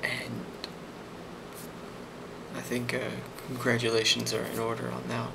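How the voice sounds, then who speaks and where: Over a clearly noisy recording, a man speaks very slowly and softly in an ASMR style. His voice has a creaky fry at a middling pitch. He plays a reassuring boss, pausing often and feeling his way through each phrase. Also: monotone. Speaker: ASMR role-player playing a boss giving a review. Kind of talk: soft-spoken ASMR role play.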